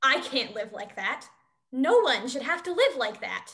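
Distressed objector, played by a woman, high, slow, pleading, stage reading. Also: big pitch swings, a bit bright, heavily acted. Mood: distressed protest. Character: distressed village woman objecting to unfair rule. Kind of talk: dramatic play reading.

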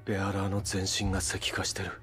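A man's voice: deep voice, completely flat delivery, fairly quick, fairly bright tone. A man playing a grim, resolute mercenary leader with a terse, commanding manner in a Japanese video game drama. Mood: grave.

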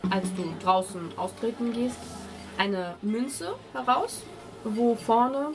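A woman's voice: on the high side, slow pace, distant mic. A woman running a tabletop game as game master, improvising her narration in a conversational way. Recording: game table with music playing behind.

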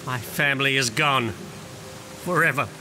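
A man's voice: mid-range voice, normal speed, sharp tone, slight background noise. An actor playing a despairing, guilt-ridden king in an audio drama, anguished and grandly dramatic. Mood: bitter despair. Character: despairing, guilt-ridden king who lost his family.

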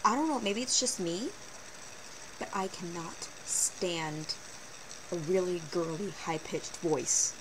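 A teenage girl vlogging from her bedroom, talking casually and animatedly with sarcastic asides in a fairly low voice for a girl. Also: slow pace. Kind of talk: casual webcam vlog. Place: small bedroom, indoors. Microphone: built-in webcam mic. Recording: noisy low-quality webcam recording.

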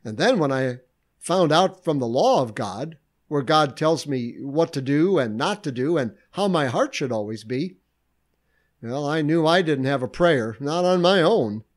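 Man preaching with lively rising pitch. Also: a little slow. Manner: reflective, lively preaching with rhetorical questions. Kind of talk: short devotional sermon.

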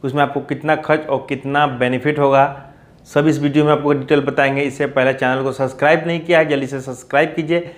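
A man's voice: medium pitch, flat delivery, fast. A man, hosting an informal explainer and often addressing listeners as friends. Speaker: poultry farming video host. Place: small plain indoor room.

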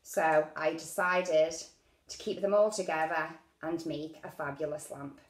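British woman, middle-aged, casual craft tutorial presenter. Unhurried delivery, recorded in an echoey room at home. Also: low-pitched. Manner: unhurried, talking while working with her hands. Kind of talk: casual hands-on craft demonstration. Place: indoors at a dining-room table at home.

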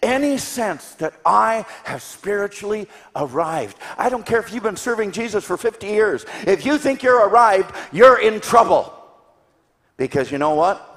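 A man in his sixties preaching a sermon to a congregation with emphatic delivery, rhetorical questions and deliberate pauses for stress. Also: sits high, expressive, a bit bright. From grew up in Tanzania. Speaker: guest preacher. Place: large church sanctuary, indoors. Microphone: handheld microphone. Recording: echoey church hall sound.

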